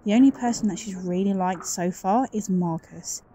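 A woman's voice: medium pitch, moderate pace, mellow, slight background noise, lightly acted. A woman playing a suspicious, insecure office colleague in an audio drama, confiding in a conversational way. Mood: uneasy, confiding.

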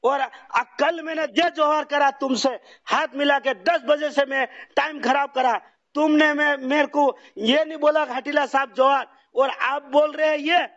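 A man's voice: extremely high pitch, steady tone, a little slow, fairly bright tone. A man ranting in an aggrieved, repetitive way during a heated phone conversation. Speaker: phone call participant. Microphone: telephone. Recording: dull lo-fi phone call recording.

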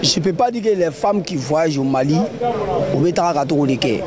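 High-voiced young man amid market crowd. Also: expressive, brisk. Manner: emphatic, repeats his points. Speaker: passerby interviewee. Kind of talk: street interview answer. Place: outdoor market. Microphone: handheld mic held by interviewer. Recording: crowd noise of a busy market.

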